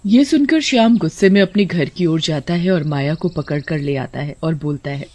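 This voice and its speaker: A woman narrating a scripted story in an expressive storybook style. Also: sits low, normal speed.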